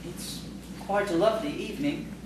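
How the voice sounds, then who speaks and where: A man plays an easily frightened, lovesick schoolteacher in a radio play. He stammers politely and hesitates. The sound is very echoey and far from the mic, with clear noise. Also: high-pitched, moderate pace, lightly acted. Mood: shy, hopeful. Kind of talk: audio theatre acting.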